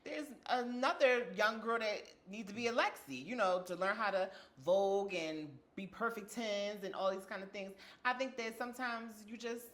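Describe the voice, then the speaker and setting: A woman's voice: medium pitch, moderate pace, few pauses, a bit bright. A woman interviewing casually on a podcast, speaking in an encouraging, conversational way.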